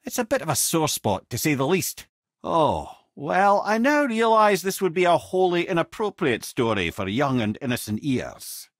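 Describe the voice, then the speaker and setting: An old, learned Scottish advisor from a fantasy video game. His voice is husky and swings expressively up and down as he spins tales with wry, colloquial eloquence. The sound is dry, with no room around it. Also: a little high, sing-song, normal speed, no echo. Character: witty, learned old severed-head advisor and storyteller. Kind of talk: video game character dialogue and storytelling.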